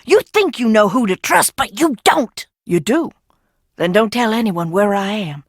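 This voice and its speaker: Wildly animated, bitter eccentric heiress. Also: female voice, mid-range voice, a little slow, no room sound, studio quality. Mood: wary warning. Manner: theatrical, sardonic, swinging from singsong teasing to hushed menace. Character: eccentric, bitter, mischievous Southern heiress, secretive asylum graduate. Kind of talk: video game character acting.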